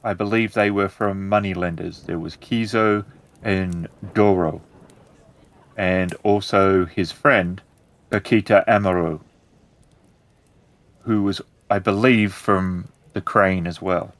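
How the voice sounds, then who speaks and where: A man playing a polite, cautious innkeeper in a samurai-era tabletop story, with a deferential, measured way of talking. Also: low voice, steady tone, a bit bright, heavily acted. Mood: guarded, helpful.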